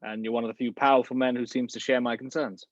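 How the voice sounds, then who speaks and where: A man reading a suave, polished gentleman spy in an online table read, with a measured, refined delivery. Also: medium pitch, level tone, no echo, close to an everyday voice. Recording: dry, dull home mic.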